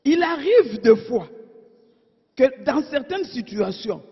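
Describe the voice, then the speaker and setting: A woman preacher delivering a sermon, asking her congregation rhetorical questions and swinging between emphatic and hushed delivery. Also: high voice, very animated, takes it slowly, husky. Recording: dull sound in a reverberant church hall.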